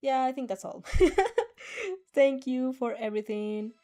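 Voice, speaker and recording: A woman's voice: sits high, steady tone, unhurried, mellow. A young woman reading a fanfiction aloud and chatting casually with viewers in a giggly, rambling, easily flustered way. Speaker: fanfic reader and streamer. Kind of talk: reaction reading and casual chat.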